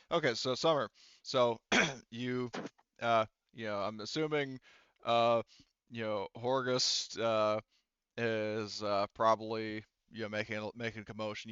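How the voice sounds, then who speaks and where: A man acting as game master, casually narrating and making rulings while thinking aloud in a halting way. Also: on the low side, fairly bright tone, clear, resonant tone, dry recording, muffled recording.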